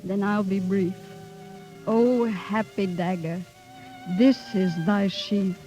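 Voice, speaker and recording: An actress playing a fragile, overwhelmed young stage star in a breathless, faltering 1930s radio drama style. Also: female voice, mid-range voice, steady tone, takes it slowly, mellow, faint music behind, close to an everyday voice. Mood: tragic, declaiming. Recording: muffled old 1930s radio recording.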